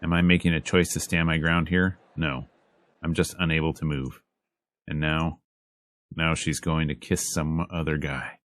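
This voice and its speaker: Very deep-voiced man narrating dramatically. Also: flat delivery, measured pace, fairly bright tone, a little hiss or hum. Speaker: let's player reading a visual novel aloud. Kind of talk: dramatic read-aloud narration of a visual novel. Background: game music.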